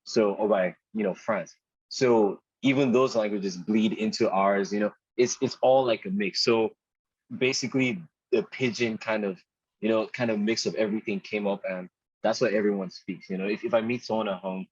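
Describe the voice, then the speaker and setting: A young man speaks in a fairly low, slightly husky voice as a podcast guest. He talks in a loose, chatty way, restarting phrases and dropping "dude" here and there. The recording is dry and a little muffled. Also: moderate pace, dry recording. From Nigeria. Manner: relaxed and chatty, says "dude" and "man" a lot, frequent restarts. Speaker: podcast guest, product designer. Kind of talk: casual conversational interview.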